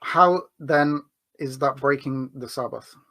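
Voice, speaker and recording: A man's voice: mid-range voice, slow, bright, forceful tone. A man arguing in a debate, hesitant, with many filler words and restarts. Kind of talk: debate argument.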